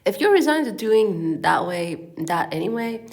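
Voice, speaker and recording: A woman's voice: mid-range voice, measured pace, gravelly. Woman reading as a patient, coaxing, logical man. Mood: patient, reasoning. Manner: calm, reasoning, coaxing. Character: patient, logical, persuasive man in a comic novel.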